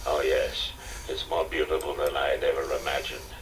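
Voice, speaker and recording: A man's voice: rumbling low, flat delivery, normal speed. A man voicing a greedy, commanding villain who gloats, in an adventure storybook. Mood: gloating. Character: greedy, gloating armed male villain seeking immortality. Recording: distant, noisy cassette audiobook recording.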